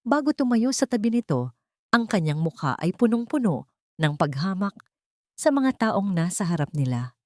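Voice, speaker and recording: A woman narrating a scripted drama story in a measured, emphatic, theatrical way. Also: mid-range voice, sing-song, dark tone, no room sound, studio quality.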